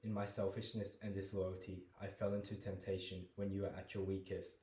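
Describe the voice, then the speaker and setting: A man's voice: low voice, flat delivery, brisk, close to an everyday voice. A young man performing a guilt-ridden condemned man in a jail cell, solemn and pleading. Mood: remorseful. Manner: solemn, builds to furious shouting. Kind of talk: dramatic monologue performance. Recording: roomy echo.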